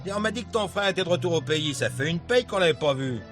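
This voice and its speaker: Jovial village fire officer, fairly high voice, familiar and hearty, faint music. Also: male voice, lively intonation, fast talker, fairly bright tone. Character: jovial small-town fire brigade officer checking tickets.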